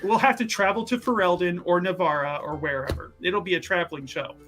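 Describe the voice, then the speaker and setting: A man playing a tabletop roleplaying game, casually making up his character's story in an animated way. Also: high voice, a little fast, music in the background. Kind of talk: casual improvised storytelling, describing own character.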